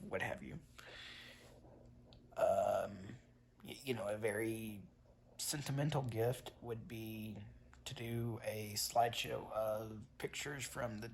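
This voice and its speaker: A congested man chatting casually to his viewers in a vlog, halting with many fillers and restarts. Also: mid-range voice, vocal fry, slight background noise. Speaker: vlogger.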